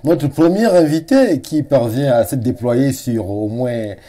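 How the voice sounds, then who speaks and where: A man hosting a TV studio interview, speaking in formal, elaborate phrases with filler pauses. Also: mid-range voice, animated, warm tone. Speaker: TV talk show host. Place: TV studio set.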